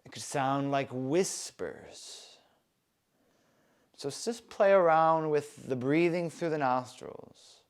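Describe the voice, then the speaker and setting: A man softly leading a guided breathing meditation, with calm, soothing instructions. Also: fairly high pitch, clean tone. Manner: slow, soft, calm and soothing guidance.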